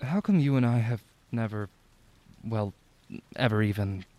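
A man's voice: lowish voice, a little slow, a little mellow, natural voice. An actor playing a playful, flirty man on a road trip, with a teasing, arch manner in an audio drama. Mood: tender, flirty.

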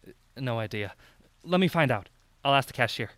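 A man's voice: medium pitch, animated, fairly quick, fairly bright tone, no echo, close to an everyday voice. A man playing a hesitant, polite and wry travelling companion in an audio drama, wordy and bumbling. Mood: puzzled. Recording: heavy background noise.

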